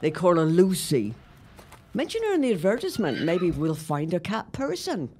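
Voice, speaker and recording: A woman acting as a sharp-tongued wife and mother in a period audio drama, speaking bluntly and emphatically. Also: fairly low pitch, huge pitch swings, moderate pace, a little hiss or hum. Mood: matter-of-fact.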